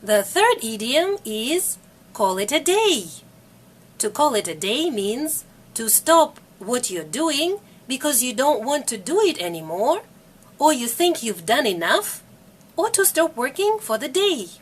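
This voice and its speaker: Slow, lively-voiced teacher woman. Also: sits high. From Russia. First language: Russian. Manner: repeats each phrase clearly for learners. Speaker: English teacher. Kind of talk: slow, careful video lesson.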